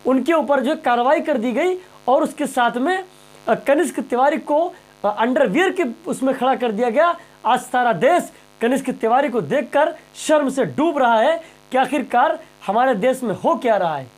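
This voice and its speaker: A man's voice: very high voice, animated, normal speed. A man presenting impassioned news commentary straight to viewers, emphatic and rhetorical, repeating phrases and questions.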